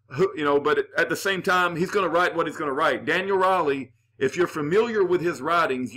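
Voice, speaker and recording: A man hosting his channel, giving opinionated commentary with Bible references in an earnest, halting way full of "uh" fillers and restarts. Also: a little high, sharp tone. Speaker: channel host reading and answering viewer comments.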